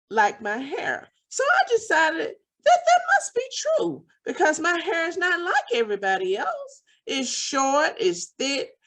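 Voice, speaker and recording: A woman's voice: on the high side, full character voice. A woman voicing a sad seven-year-old girl who pleads in a whiny, tearful way.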